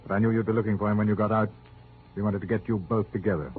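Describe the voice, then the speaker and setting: A man's voice: on the low side, steady tone, rapid-fire, close to an everyday voice. An actor playing a blunt, hard-nosed male investigator in an old radio drama. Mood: stern. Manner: blunt, curt, tough. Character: brusque, hard-nosed American insurance investigator. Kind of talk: 1940s-50s radio drama acting. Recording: tinny, noisy old radio recording.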